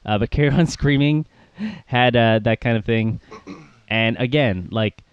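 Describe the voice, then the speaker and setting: A man's voice: medium pitch, a little slow, clean tone, no echo, dull audio. A man co-hosting a casual podcast about music, rambling with plenty of fillers and little laughs. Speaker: podcast co-host.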